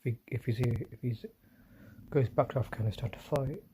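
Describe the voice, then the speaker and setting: Solo male streamer, hushed half-whispered delivery, mellow tone, faint hiss behind. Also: normal speed. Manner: talking low, often whispering, with apologies and restarts. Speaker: livestream host. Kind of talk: solo livestream commentary. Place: indoors at home, quiet room. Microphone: phone.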